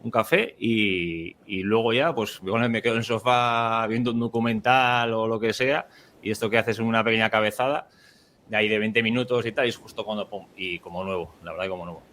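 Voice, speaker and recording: A man's voice: on the low side, steady tone, a little fast, fairly bright tone, a little hiss or hum. A male podcast host chats in a loose, rambling way. He restarts his sentences often, fills gaps with "eh" and breaks into laughter here and there. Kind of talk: casual conversational interview.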